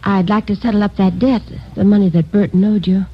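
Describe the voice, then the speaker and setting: An actress in a 1950s radio drama playing a dignified, wealthy widow in subdued grief, hesitant and formal. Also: female voice, medium pitch, steady tone, a little fast, slightly rough, natural voice. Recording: very muffled vintage radio recording.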